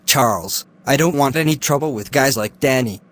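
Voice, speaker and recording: A man's voice: mid-range voice, normal speed, natural voice. A young man, acting a cautious student who firmly refuses to get involved. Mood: dismissive. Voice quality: firm, dismissive refusal. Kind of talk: amateur drama acting.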